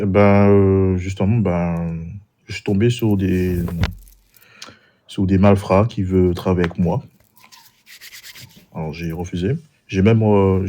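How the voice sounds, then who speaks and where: Low, mellow-voiced man from Martinique. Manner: hesitant, many fillers and restarts. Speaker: job applicant in a roleplay server. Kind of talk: in-character job interview.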